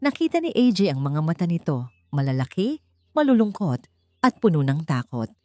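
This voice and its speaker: A woman narrating a scripted audiobook love story in a measured, expressive storytelling manner. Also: on the low side, no room sound, clean studio sound.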